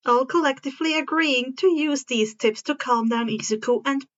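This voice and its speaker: A woman reading fan fiction aloud as an expressive story narrator. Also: high-pitched, normal speed, dull audio.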